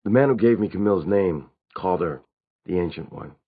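Male narrator as adult werewolf leader, calm, grave, measured, neutral tone, slightly muffled audiobook sound. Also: sits low, slightly rough. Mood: thoughtful.

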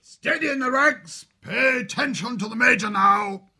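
A man voicing a bossy military hare sea-captain in a storybook, with a raspy British bark and pompous bluster. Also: high-pitched, sing-song, moderate pace, dry recording, studio quality, voice pushed up, heavily acted. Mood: barking orders. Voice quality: raspy, gravelly shout.

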